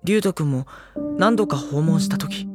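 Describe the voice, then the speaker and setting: An actor playing an earnest, brooding teenage schoolboy in a Japanese audio drama, questioning and narrating with dramatic pauses. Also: male voice, fairly high pitch, expressive, loud music behind the voice, close to an everyday voice.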